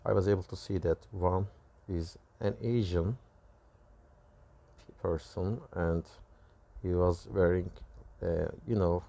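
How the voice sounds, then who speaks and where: A man with a Turkish accent and Turkish as his first language gives a personal testimony, recounting visions in halting, self-correcting phrases. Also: low-pitched, steady tone, vocal fry, mellow. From Turkey. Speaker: religious video creator.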